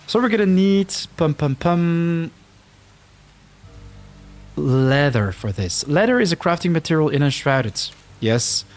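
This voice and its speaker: A man's voice: a little high, animated, slow, pauses often, soft background music. A man from the Netherlands with a Dutch-Flemish accent, chatting casually as he streams a game live, reacting to the action and reading viewer comments aloud.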